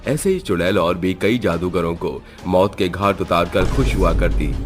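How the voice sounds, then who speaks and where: A man's voice: sits low, normal speed. A man giving dramatic scripted narration of a horror story. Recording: music behind.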